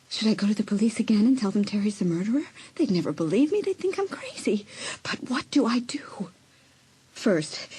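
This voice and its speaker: A woman's voice: mid-range voice, a little mellow, slight background noise, close to an everyday voice. A young woman playing an anxious, frightened teenage girl who keeps a secret, in a dramatised audiobook. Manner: breathy, often dropping to urgent whispers. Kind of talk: dramatised audiobook acting with inner monologue.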